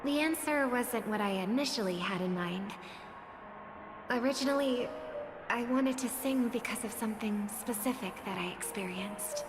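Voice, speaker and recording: Gentle, idealistic young songstress for a game, played by a woman: higher-pitched, measured, reverberant, over music. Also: lightly acted. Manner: measured, soft-spoken, earnest. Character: gentle, earnest young female singer, idealistic and resolute. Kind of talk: video game voice acting. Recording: long reverb, music under the voice. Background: background music.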